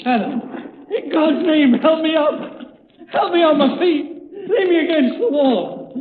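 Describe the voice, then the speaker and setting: An actor playing a weak, wounded small-time crook in an old radio drama, pleading and faltering with melodramatic desperation. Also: male voice, very high-pitched, animated, unhurried. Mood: desperate, pleading. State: weak, dying. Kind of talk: 1930s-40s radio drama acting. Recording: echoing, phone-like old radio recording.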